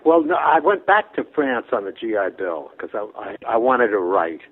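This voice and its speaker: A man in his nineties, originally from Brooklyn, tells his life story as an interview guest. His voice sits fairly low, and he rambles, restarts and lets his sentences fade quietly away. The sound is narrow and tinny, as if heard over a phone line. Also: big pitch swings, normal speed. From Brooklyn, New York. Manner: rambling anecdotes that trail off softly, with restarts and chuckles.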